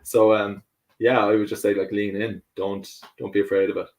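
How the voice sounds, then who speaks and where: A man's voice: lowish voice, flat delivery, moderate pace, darkish tone. A man from Ireland with an Irish accent, answering interview questions casually, hesitant and full of fillers, laughing easily. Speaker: guest.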